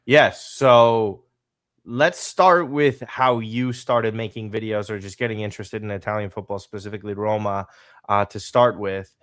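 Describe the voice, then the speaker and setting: A male podcast host with a low, smooth, clear voice, talking with energy and rambling through long questions. The sound is dry and close, with no room echo. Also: steady tone, normal speed, a bit bright. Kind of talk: casual podcast interview.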